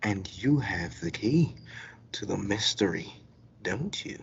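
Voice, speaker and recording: A man's voice: fairly low pitch, expressive, slow pace, gravelly, exaggerated voice. A man playing an agitated, accusing radio actor who rallies others, urgent and emphatic, in a radio play. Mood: rallying, coaxing. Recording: dull lo-fi recording with noise.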